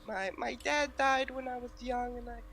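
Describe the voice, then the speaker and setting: A woman playing a tipsy, wistful oni village trader in a tabletop game, halting and rambling. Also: a little high, steady tone, a bit bright. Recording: clear background noise.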